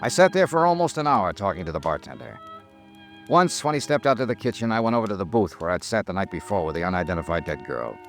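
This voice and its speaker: Hard-boiled investigator, wry, crisp delivery, wide-swinging pitch, old radio noir. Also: male voice, big pitch swings, soft background music. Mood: dry, narrating. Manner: crisp, dry, deliberate storytelling. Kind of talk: 1950s radio noir narration and dialogue.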